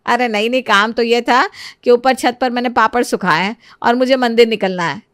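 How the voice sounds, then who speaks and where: A woman voicing a kind, motherly middle-aged aunty with a plaintive manner in an animated moral story. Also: a little high, normal speed, smooth, no echo, studio quality, natural voice. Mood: warm, obliging.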